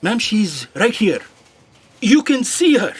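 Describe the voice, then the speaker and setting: A woman's voice: medium pitch, very animated, slow, husky, exaggerated voice. A woman playing a dutiful office aide in a comic audio drama, dry and snide in manner.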